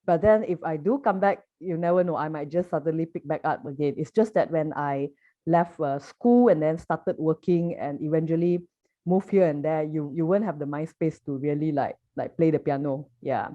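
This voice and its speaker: Low-voiced Malaysian woman, muffled audio. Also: normal speed. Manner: chatty, rambling with many fillers and restarts. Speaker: poet and artist guest. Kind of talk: casual interview answers.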